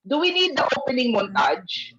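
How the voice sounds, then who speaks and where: A woman's voice: sits high, sing-song, normal speed, fairly bright tone, breathy edge. A middle-aged woman with a Filipino accent leading a casual group discussion in Taglish, emphatic and repeating her phrases. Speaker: organizer leading an online rehearsal. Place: video call. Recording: dull, lo-fi video call audio.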